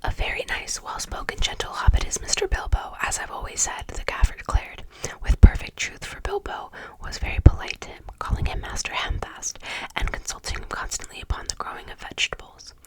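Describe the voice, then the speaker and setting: A reader whispering a storybook softly in ASMR style, breaking off now and then for casual chatty asides. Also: a little fast, low-quality recording. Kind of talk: whispered ASMR book reading.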